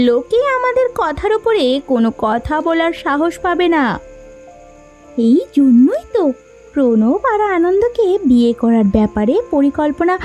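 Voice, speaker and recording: Woman voicing a high, animated scheming village girl. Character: scheming, ambitious young village woman plotting a powerful marriage. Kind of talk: Bengali moral-story cartoon dubbing.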